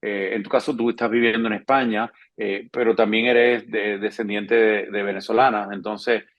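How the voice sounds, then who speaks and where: A man's voice: fairly low pitch, level tone, normal speed. A man hosting an interview, asking long, winding questions with many hesitations and fillers. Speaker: interview host. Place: South Florida. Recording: slightly dull lo-fi sound.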